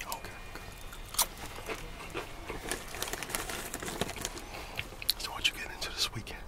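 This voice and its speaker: A man's voice: very fast, far from the mic, lightly acted. A middle-aged man playing a disgruntled, gossipy office phone worker in an ASMR roleplay, murmuring casually and conspiratorially. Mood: relaxed, conspiratorial. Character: disgruntled, gossipy, laid-back long-time office phone worker, male.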